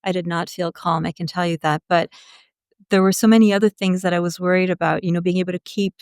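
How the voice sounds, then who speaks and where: A woman's voice: on the low side, fairly even intonation, fast, a little mellow, smooth, no echo, studio quality. A woman telling stories casually as an interview guest, candid and chatty.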